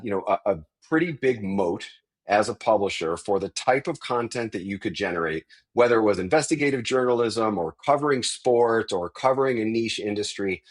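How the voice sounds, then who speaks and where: A man who is a guest on a tech podcast, explaining industry shifts in a thoughtful, conversational way. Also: on the low side. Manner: thoughtful, explanatory, with frequent restarts.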